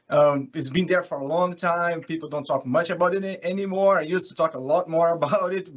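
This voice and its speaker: Higher-voiced Brazilian man presenting, dull audio. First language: Portuguese. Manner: chatty, often chuckling. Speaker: consultant, conference session presenter. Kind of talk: informal conference presentation. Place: remote online session from California. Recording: dull lo-fi online call audio.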